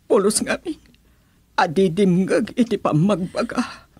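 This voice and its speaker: A protective, anguished middle-aged mother in a radio drama with a Filipino accent. Her voice is husky and recorded dry. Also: mid-range voice, a little slow, dark tone, no room sound. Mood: distressed, protective.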